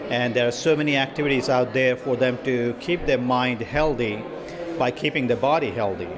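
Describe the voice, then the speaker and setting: A middle-aged man speaks earnestly, building a story step by step in an interview. His voice is mid-pitched, with a busy crowd chattering around him. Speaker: film producer interviewee. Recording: crowd noise behind.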